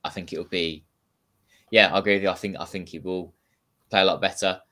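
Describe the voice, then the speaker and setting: Young man, lowish voice, quick chatty football talk, video call, reads viewer comments. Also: steady tone, sharp tone. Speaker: co-host reading viewer comments.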